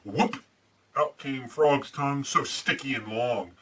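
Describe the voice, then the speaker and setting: A man plays an earnest masked superhero hosting a storybook read-aloud. His voice is bright and mid-pitched, with casual, slightly hesitant deadpan asides. The recording has a clear layer of amateur background noise, kept faint. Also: slow pace. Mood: storytelling. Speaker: storyteller and host.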